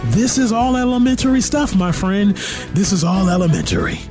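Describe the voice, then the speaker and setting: High-pitched, animated male radio host. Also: moderate pace. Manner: punchy, theatrical announcer delivery with teasing asides. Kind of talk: radio news-talk commentary. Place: small radio studio. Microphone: close broadcast boom mic. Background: music bed.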